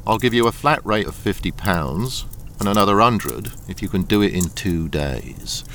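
A man acting a gruff, secretive captain in a radio thriller, with brusque, probing questions. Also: on the low side, lively intonation, normal speed, a bit bright. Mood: businesslike. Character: gruff, secretive old mine-boss captain, shady employer. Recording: noisy radio drama with rain sounds.

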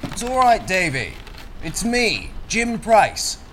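A man's voice: sits high, wildly swooping pitch, takes it slowly, a bit bright, slight background noise, heavily acted. An actor playing a weary, wary ex-soldier and miner who tells his own story in a radio crime drama. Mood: insistent, concerned. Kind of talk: radio crime drama, first-person narration and dialogue.

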